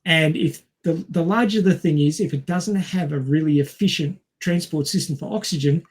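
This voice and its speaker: A man's voice: fairly high pitch, moderate pace, husky. A man co-hosting a podcast, explaining science informally in a didactic manner. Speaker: podcast co-host.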